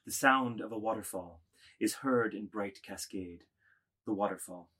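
A man's voice: lowish voice, normal speed, a bit bright, slightly rough. Man narrating stage directions. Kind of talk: scripted literary narration.

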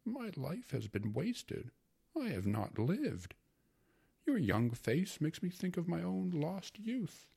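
A man putting on a high, wistful aging gentleman. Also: big pitch swings, slow, creaky, breathy edge, full character voice. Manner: pleading, wistful, higher than the narration. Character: sentimental fussy aging gentleman, male.

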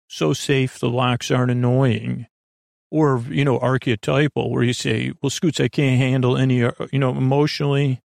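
A man hosting a bedtime podcast in a creaky, soothing voice, meandering through halting tangents and interrupting himself. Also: medium pitch, a little mellow, no echo, studio quality. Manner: lulling, soothing. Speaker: podcast host. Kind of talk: rambling bedtime sleep-podcast monologue.

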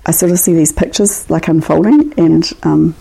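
A woman's voice: deep voice, normal speed, vocal fry, mellow, poor audio. A woman with a New Zealand accent, an author reading poetry and chatting as a guest. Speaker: author and poet, interview guest. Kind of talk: poetry reading and casual chat. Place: at home, indoors.

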